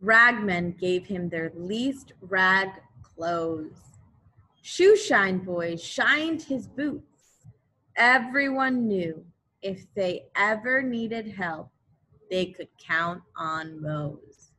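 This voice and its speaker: A woman reads a picture book to young children in the manner of a schoolteacher. Her pitch sits in the middle range and her pace is slow. She is expressive and deliberate, stepping out to explain the pictures. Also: poor audio. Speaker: elementary school teacher. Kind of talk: children's storybook read-aloud with asides.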